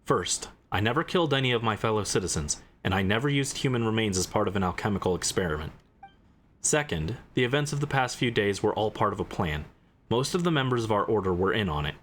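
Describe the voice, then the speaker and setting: A man voicing a composed, eloquent investigator and commander in a game, speaking in a measured, formal, deliberate way. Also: on the low side, animated, a little hiss or hum, close to an everyday voice. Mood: calm, commanding.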